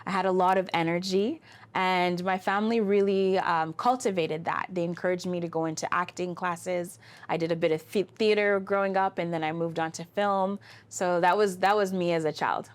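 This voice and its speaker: A woman's voice: fairly low pitch, fairly even intonation, moderate pace. A woman from Canada, a guest in an interview, telling her story in an articulate, flowing way. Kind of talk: interview conversation.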